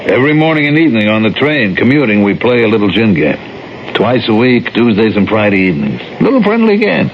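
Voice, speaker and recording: A man's voice: lowish voice, sing-song, a little fast. An actor playing a weary, kindly everyman in a 1970s radio drama, earnest and full of questions. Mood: weary, rueful. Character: weary, kindly commuter who befriends a ghost. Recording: noisy, dull 1970s radio recording.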